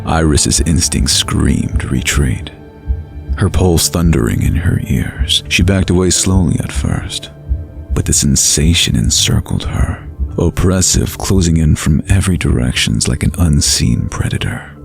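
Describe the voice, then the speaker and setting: A man narrating a scripted fantasy bedtime story in a measured, atmospheric, dramatic way. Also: rumbling low, expressive, vocal fry, faint music behind.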